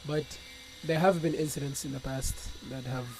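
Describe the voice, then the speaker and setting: A man's voice: medium pitch, fairly even intonation, normal speed. A young man from Ethiopia answering interview questions as a student guest, choosing his words carefully and hesitantly. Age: young adult. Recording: clearly noisy background ambience.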